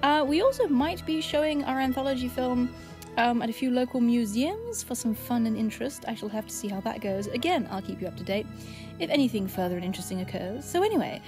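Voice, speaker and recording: A woman with a fairly high voice hosts an audio drama introduction. She is chatty and playfully self-deprecating. Music plays clearly behind her. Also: lively intonation, fast.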